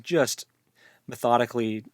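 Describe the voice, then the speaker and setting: A man co-hosting a casual book discussion, retelling things in an animated way peppered with "like". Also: mid-range voice, unhurried, no room sound, studio quality. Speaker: book podcast co-host.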